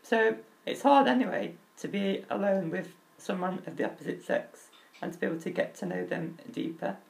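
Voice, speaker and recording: Crackly, high-voiced young man. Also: creaky. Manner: open and earnest, halting restarts, nervous laughs. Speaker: vlogger. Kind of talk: candid personal talk.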